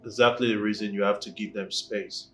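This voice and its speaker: A man's voice: lowish voice, steady tone, fairly quick, bright, forceful tone. An actor playing a stern, disappointed man who lectures a woman firmly. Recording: faint guitar music underneath.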